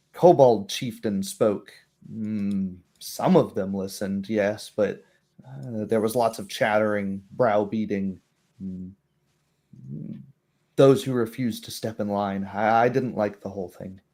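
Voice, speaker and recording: A man's voice: on the low side, a little mellow. A man voicing a hesitant, cautious male game character who hems and halts as he speaks. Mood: uneasy. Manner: halting, hemming mid-sentence. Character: hesitant, cautious man recounting what he saw.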